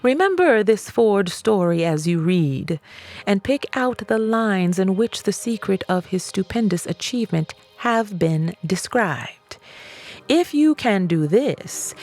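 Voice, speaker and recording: A woman reading a self-help audiobook in a measured, emphatic storytelling manner. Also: on the low side, a little mellow. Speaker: audiobook reader. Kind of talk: scripted narration of a self-help book.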